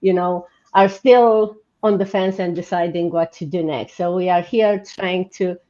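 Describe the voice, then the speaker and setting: A woman's voice: fairly low pitch, fairly even intonation, measured pace, mellow. A woman from Romania with a Romanian accent hosting an informal livestream in an encouraging way. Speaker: host. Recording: muffled, band-limited online stream audio.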